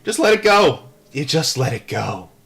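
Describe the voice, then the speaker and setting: A man's voice: mid-range voice, big pitch swings, moderate pace, gravelly, a bit bright. A man hosting a live read-aloud, moving between reading a book and casual, irreverent, rambling asides. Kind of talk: audiobook-style reading with casual off-the-cuff asides.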